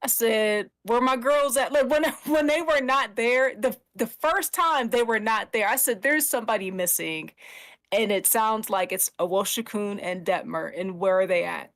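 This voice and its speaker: A woman's voice: fairly high pitch, moderate pace, fairly bright tone, dry recording. A woman podcast panelist chatting casually and emphatically as a fan. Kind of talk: casual chat about a TV show.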